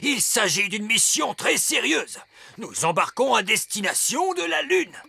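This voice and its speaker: A man's voice: extremely high pitch, big pitch swings, unhurried, vocal fry, bright, forceful tone, husky, dry recording, heavily acted. A man voicing a wise old male elf in a cartoon, stern and proud, with an emphatic, officious delivery. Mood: proud, commanding. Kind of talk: French cartoon dub acting.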